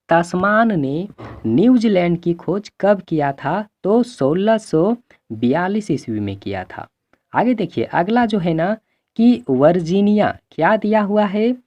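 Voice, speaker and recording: A male teacher from the belt, giving an exam revision lesson, repeating himself and answering his own questions. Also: high-pitched. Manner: repetitive, asks then answers, frequent check-in tags. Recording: dull lo-fi microphone sound.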